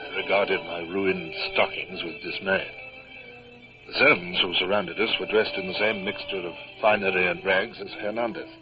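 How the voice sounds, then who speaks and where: A man's voice: low-pitched, fairly even intonation, music in the background, lightly acted. A man playing a formal, resolute naval captain who also narrates, in a 1950s radio drama. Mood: measured narrating. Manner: formal, measured, throat-clearing hesitations. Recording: dull, faintly noisy old radio recording.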